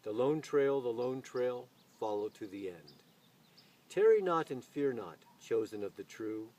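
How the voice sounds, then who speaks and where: A man hosting a poetry reading, speaking with deliberate, expressive phrasing. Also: medium pitch. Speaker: video channel host reading poetry aloud. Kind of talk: expressive poetry recitation with casual spoken introduction. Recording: distant mic, noise, birdsong ambience.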